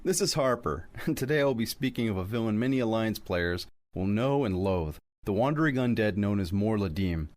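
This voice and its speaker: A man's voice: on the low side, lively intonation, normal speed, hardly any pauses, a little mellow. A man on a podcast narrating scripted fantasy lore in an articulate, wry storyteller's manner. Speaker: podcast host.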